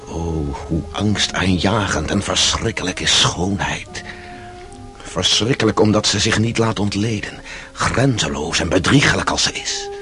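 A man's voice: low-pitched, a bit bright. An actor playing a tormented, passionate Russian man in a radio drama, brooding and rhetorical in his delivery.

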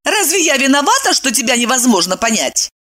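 A female reader voices a cheeky young woman from a comic novel. She speaks high and with very animated pitch. Her retorts are coy, flippant and theatrical. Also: fairly quick, full character voice.

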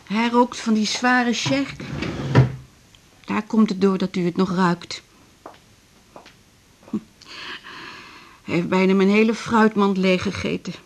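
A woman's voice: mid-range voice, steady tone, measured pace, lightly acted. An actress playing a lonely, fretful female hospital patient in a radio drama, plaintive, hesitant and chatty. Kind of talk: 1980s Dutch radio drama acting.

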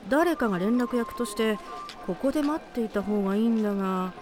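A woman's voice: on the high side, animated, brisk, over music, lightly acted. A woman playing a brusque, confident female teacher in an anime audio drama, wry and commanding with mannish phrasing. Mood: brisk, commanding.

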